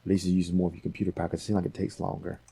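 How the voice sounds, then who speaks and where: Male tutorial host, low-pitched and dark in tone, casual and rambling, explaining each step. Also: completely flat delivery, rapid-fire, creaky, slightly rough, no echo. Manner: rambling, thinking aloud. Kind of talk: casual software tutorial, talking through steps.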